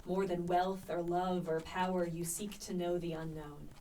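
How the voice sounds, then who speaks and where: Woman playing a low-voiced, dreamy poet-spy, slightly distant. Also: little pitch movement, dark tone, slight background noise, close to an everyday voice. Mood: solemn, searching. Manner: musing, lyrical, dramatic pauses. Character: Christopher Marlowe, dreamy, searching young male poet and spy. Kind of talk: radio play acting.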